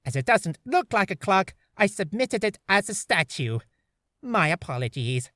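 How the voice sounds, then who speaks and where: A woman's voice: medium pitch, sing-song, moderate pace, a bit bright, no echo, clean studio sound. A woman voicing a stern, formal courtroom prosecutor from a video game, with pointed delivery. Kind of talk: video game character voice acting.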